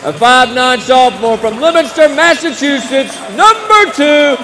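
Young American man, very high voice, sports announcer, showman delivery, courtside desk mic, loud crowd behind. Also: expressive, over loud music. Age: young adult. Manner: booming showman delivery with drawn-out names. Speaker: public address announcer. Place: courtside in an indoor basketball arena. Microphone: desk microphone on a short stand. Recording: echoing arena PA with loud crowd noise. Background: crowd noise and arena ambience.

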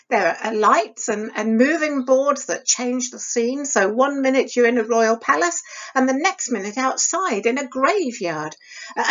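A woman acts out a dreamy, restless young woman from a storybook. Her voice is high and dramatic, lingering on words with passionate emphasis. The audio is slightly dull. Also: lightly acted. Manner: gushing, dramatic, sighing, drawn-out emphasis. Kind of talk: audiobook character voice.

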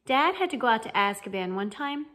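A woman's voice: a little high, sing-song, a little fast, bright, forceful tone. A woman voicing an easygoing, reassuring teenage schoolboy with a casual manner, in a storybook reading. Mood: reassuring, grave.

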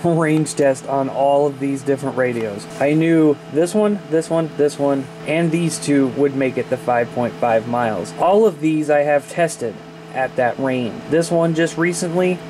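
A man in his thirties with an American accent talks casually as a vlog host. His voice sits a little high. There is clear ambient noise behind him. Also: measured pace. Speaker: vlog host reviewing radios.

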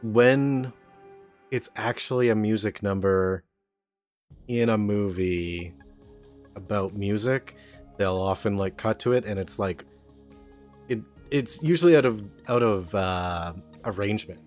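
A man with a fairly low voice co-hosts a casual film podcast. He muses in halting phrases, with faint music in the background. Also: a little mellow. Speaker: podcast co-host.

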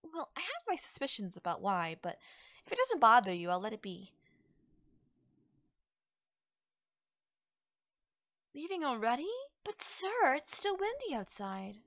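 A woman plays a mysterious, teasing witch in an audio roleplay, speaking straight to the listener. Her voice is fairly high and very expressive, with coy, musing pauses. The sound is dry and intimate, without room echo.

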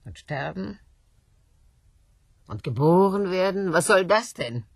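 An actress playing a rich, hard-drinking older widow in a radio play, sardonic and theatrical, going from murmurs to outbursts. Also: female voice, fairly low pitch, animated, slow, gravelly, a little mellow, slightly rough, no room sound, clean studio sound, heavily acted.

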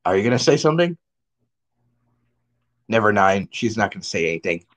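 A man's voice: fairly low pitch, very animated, brisk. A man hosting a stream with casual, chatty talk. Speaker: channel host and streamer.

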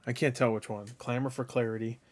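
A man's voice: medium pitch, steady tone, normal speed. A man hosting a reaction video, riffing casually with jokes and frequent swearing. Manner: profane, jokey, riffing. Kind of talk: casual joking game commentary.

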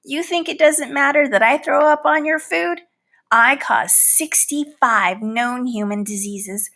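A woman's voice: high-pitched, a little slow, sharp tone, exaggerated voice. A woman voicing a proud, easily hurt housefly in a storybook, with theatrical, pleading and boastful delivery. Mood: confessing. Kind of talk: storybook read-aloud in character.

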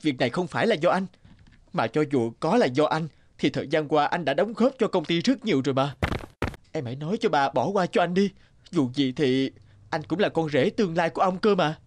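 A man's voice: a little high, expressive, fairly quick. A man playing an earnest, anxious young employee in a cartoon drama, speaking in a pleading way. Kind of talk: dubbed cartoon drama acting.

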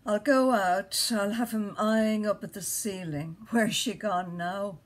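A woman's voice: medium pitch, fairly even intonation, slow pace, lightly acted. A woman performing a restless, earthy wife who lies awake musing, in a theatrical stream-of-consciousness monologue. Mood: restless, wry.

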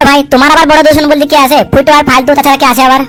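Casting: woman playing cheeky male sidekick, comedy cartoon. Very high, racing delivery, sly, swearing, argumentative. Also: flat delivery, a bit bright. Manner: chattering, argumentative, coarse, quick with excuses. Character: wily, cheeky male sidekick and schemer, foul-mouthed. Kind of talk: comic cartoon dub dialogue.

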